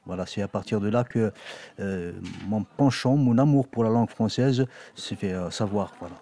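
Low mellow man, hesitant Algerian poet. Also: moderate pace. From Algeria (Kabylie). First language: Kabyle or Arabic, not French. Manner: hesitant, many fillers and restarts. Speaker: journalist and poet. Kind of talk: interview answers and poetry reading.

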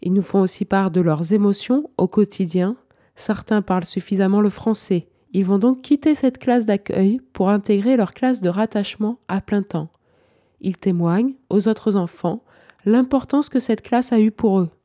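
A woman presenting a radio documentary in a measured, clearly articulated scripted narration. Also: medium pitch, dark tone.

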